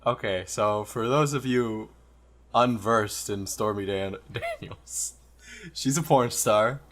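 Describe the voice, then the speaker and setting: A young man from Canada with a fairly low voice, chatting on a podcast. He jokes a lot, takes the contrary side, and laughs as he talks. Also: takes it slowly, a bit bright. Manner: joking, contrarian, laughs while talking. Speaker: podcast co-host. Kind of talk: casual chat.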